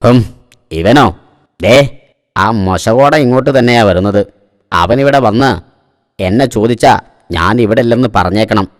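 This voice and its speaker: A man's voice: fairly low pitch, moderate pace, long pauses, natural voice. A man voicing a sly, grumbling shop owner in a cartoon, with long echo on the recording. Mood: scheming. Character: grumbling, sly adult male shop owner, evasive boss. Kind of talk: cartoon voice acting.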